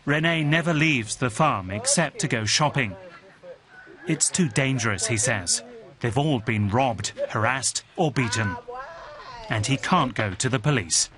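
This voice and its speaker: A man narrating a television news report in a measured, scripted voice, also voicing translated quotes. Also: mid-range voice, a crowd in the background. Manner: measured, even reporting delivery.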